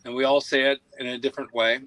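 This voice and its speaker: A man joining a live conversation by video call from a boat, speaking thoughtfully with long pauses between words. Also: medium pitch, little pitch movement, fairly bright tone, dry recording, low-quality recording. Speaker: guest scientist.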